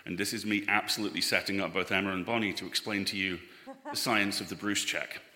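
A man's voice: low voice, fairly even intonation, fast, bright, forceful tone. A man speaking enthusiastically and emphatically as a cast member on a casual live panel. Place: live event room at a festival. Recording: echoey hall, long reverb.

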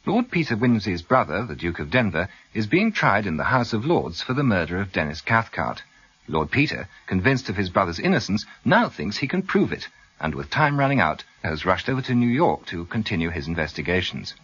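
A man's voice: lowish voice, sing-song, fairly quick, husky. A man with a British accent reading scripted narration as a radio drama announcer. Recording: slightly dull radio recording, loud music. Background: loud theme music.

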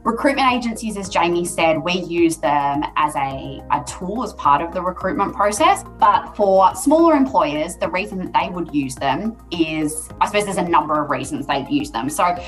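Woman, low voice, recruiter, conversational podcast advice, faint music behind. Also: animated, normal speed, hardly any pauses.

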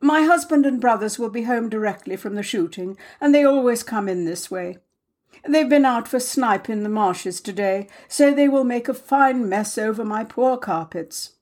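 A woman voicing a brisk, cheerful, middle-aged English hostess in a storybook reading. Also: a little high, a little mellow, close to an everyday voice. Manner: brisk, bustling. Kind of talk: storybook character voice in audiobook reading.

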